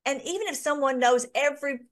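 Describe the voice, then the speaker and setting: A woman's voice: high-pitched, fast. A woman hosting an informal online Bible study, talking in a rambling way with many restarts and fillers.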